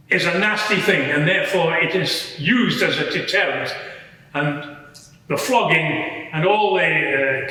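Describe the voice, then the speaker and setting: A man playing a matter-of-fact Roman soldier giving measured, explanatory testimony at a dramatised courtroom inquiry. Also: a little high, pushed and bright. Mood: measured, formal. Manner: measured, explanatory, slightly hesitant. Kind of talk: dramatised courtroom testimony before a live audience. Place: large courtroom in a public building. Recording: very echoey large hall.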